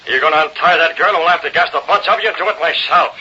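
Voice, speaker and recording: An actor playing a cool, commanding masked vigilante who is also a newspaper boss, in old radio crime drama. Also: male voice, a little high, quick pace, sharp tone. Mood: commanding, taunting. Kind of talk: 1930s-40s radio acting. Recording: noisy, muffled old radio recording.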